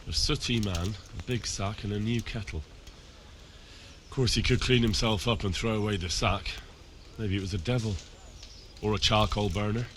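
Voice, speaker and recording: An actor playing a young village man in a video game, neighborly but firm, with a measured, questioning manner. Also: fairly low pitch, fairly even intonation, slight background noise, natural voice. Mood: pondering. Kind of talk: video game dialogue acting.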